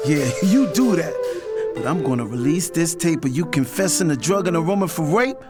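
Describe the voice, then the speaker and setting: Guy playing cocky american record boss telling his story, slangy, swings up and down a lot, loud music under it. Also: fairly high pitch, animated, normal speed, natural voice. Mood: menacing, cocky. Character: hot-tempered, swaggering male record label boss, protective lover. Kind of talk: first-person audio drama narration with dialogue.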